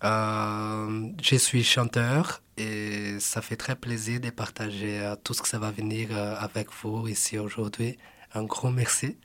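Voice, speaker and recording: A man in his twenties, originally from Brazil, introduces himself as a guest in a studio. He speaks slowly and in an even, flat tone, polite and a little hesitant. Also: on the low side. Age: 20s. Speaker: podcast guest, singer.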